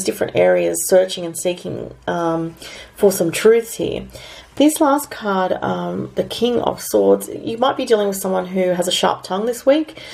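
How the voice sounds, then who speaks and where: An Australian woman with a raspy, gravelly voice, still a little worn from being sick. She talks through her astrology and tarot forecast in a loose, rambling way, full of fillers. Her tone sits somewhat low. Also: dark tone. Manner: rambling, filler-heavy, thinking aloud. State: raspy from recent illness.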